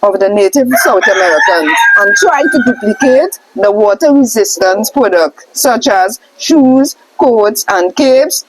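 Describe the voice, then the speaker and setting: A woman reading a scripted question-and-answer lesson aloud, setting out names and lists deliberately. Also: medium pitch, animated, noisy background. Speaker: reader of a scroll text.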